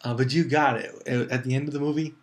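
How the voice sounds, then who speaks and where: A man's voice: medium pitch, fairly even intonation, fast talker. A man hosting a casual, unscripted review show, rambling informally and chattily as he talks straight to his viewers. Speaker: movie review show host.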